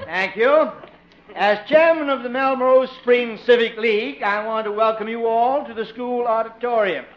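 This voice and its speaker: An American man playing a fussy civic club chairman in a 1950s radio comedy, formal and tittering at his own jokes. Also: very high-pitched. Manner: slow, formal, self-amused tittering. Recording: muffled old radio, faint noise.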